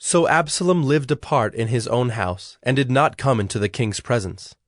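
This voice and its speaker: A man's voice: mid-range voice, lively intonation, normal speed. A man reading scripted Bible narration as the narrator of a dramatized production.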